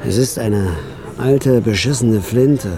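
A man's voice: mid-range voice, takes it slowly, a crowd in the background, natural voice. An actor playing a wry, nervous hitman who narrates his own story dryly in a radio drama. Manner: dry, understated first-person narration.

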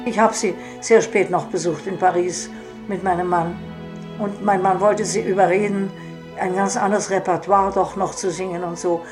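A woman's voice: fairly low pitch, steady tone, normal speed, over music. An older woman reminiscing wistfully in a personal, conversational way.

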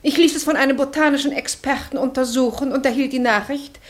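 Casting: actress for indignant, genteel radio-drama society lady, affected, fairly high-pitched. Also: fast, close to an everyday voice. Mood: prim complaint. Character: prim, indignant society lady giving testimony. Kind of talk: 1960s German radio drama acting.

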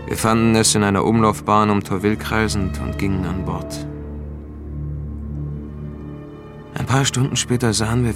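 A man acting a world-weary noir hero narrating a science fiction radio play. His voice sits low, and he speaks in terse, deliberate, brooding phrases. Loud music plays beneath him. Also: natural voice. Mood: somber, reflective. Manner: terse, deliberate, hard-boiled. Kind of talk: scripted radio drama narration.

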